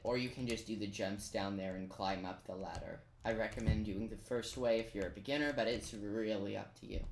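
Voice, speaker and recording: Low-voiced guy doing a game walkthrough, echoey. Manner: informal, step-by-step instructing with playful asides. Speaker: gamer giving a tutorial. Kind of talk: casual game walkthrough commentary. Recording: roomy echo, noise, keyboard clicking. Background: computer keyboard typing and clicks.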